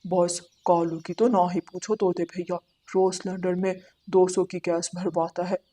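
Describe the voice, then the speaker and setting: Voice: medium pitch, flat delivery, unhurried, dark tone, natural voice. A performer voicing a gossipy male pigeon who mocks his neighbours in a moral-story cartoon. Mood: mocking. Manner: gossiping, mocking.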